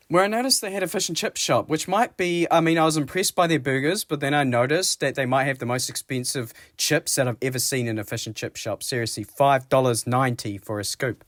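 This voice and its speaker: Laid-back New Zealand dad with a cold. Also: male voice, mid-range voice, a bit bright, dry recording, clean studio sound. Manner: laid-back, self-mocking, chuckles often. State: voice lower and rough from a cold. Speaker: vlogger, single dad. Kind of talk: casual daily vlog narration.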